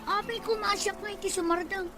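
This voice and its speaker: A woman's voice: very high-pitched, fairly even intonation, fairly quick, over music. A woman playing a whiny, reluctant young farm sibling in a radio drama. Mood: whiny, defensive. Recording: clearly noisy radio drama audio.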